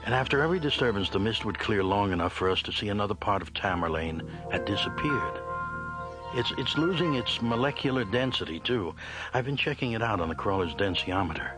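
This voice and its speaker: A man's voice: lowish voice, expressive, a little fast, natural voice. An actor playing an earnest, urgent space crewman in a science fiction audio drama. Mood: grave, explaining. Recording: music under the voice.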